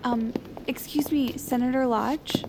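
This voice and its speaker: A woman playing an earnest, flustered young aide in an audio drama, nervous, halting and prone to ramble. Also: high voice, steady tone, noisy background. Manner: nervous, halting, awkwardly chatty. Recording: music underneath.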